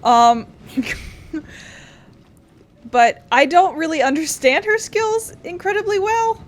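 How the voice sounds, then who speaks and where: A woman's voice: very high-pitched, slow pace, slight background noise. A woman giving casual, rambling let's play commentary as a gaming streamer. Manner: rambling, informal. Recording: game music behind the voice.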